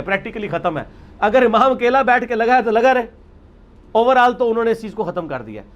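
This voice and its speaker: High-voiced man lecturing emphatically. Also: highs cut off. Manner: argumentative, emphatic, rhetorical questions. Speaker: religious speaker. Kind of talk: lecture on religious practice.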